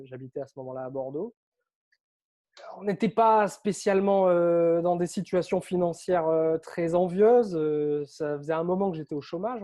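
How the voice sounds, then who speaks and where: A French man with a somewhat high yet mellow voice, talking as an interview guest. He speaks in a lively, chatty way and often uses filler words. He grew up on Réunion Island but carries no accent. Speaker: interview guest, author and coach. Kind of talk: casual interview storytelling.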